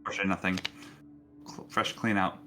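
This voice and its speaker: A man's voice: deep voice, level tone, a little fast, over music. A man running a tabletop roleplaying game as game master, narrating casually in a jokey way.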